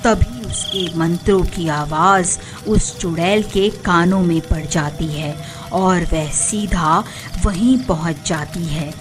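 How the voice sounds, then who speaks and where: A woman's voice: fairly low pitch, slow pace, few pauses, music in the background. A woman narrator reading a scripted storybook-style tale for a cartoon.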